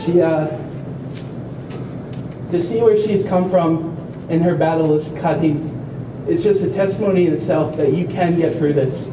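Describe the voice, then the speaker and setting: A young man giving an earnest, heartfelt speech at a community walk, slightly halting as he speaks. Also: fairly high pitch. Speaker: family member speaker at an awareness walk. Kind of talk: prepared speech to a crowd. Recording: echoey, distant, noisy event recording.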